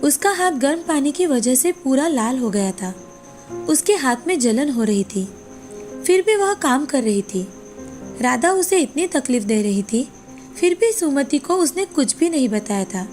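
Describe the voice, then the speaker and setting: A woman's voice: high voice, very animated, measured pace, darkish tone. A woman narrator reading a scripted moral fairy tale as storytelling. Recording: background music under the voice.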